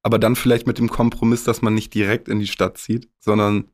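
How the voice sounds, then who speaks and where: Relaxed low-voiced young man, candid. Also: brisk. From northwest Germany. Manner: relaxed, candid, with casual swearing and English slang. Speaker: rapper, interview guest. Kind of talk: casual podcast conversation.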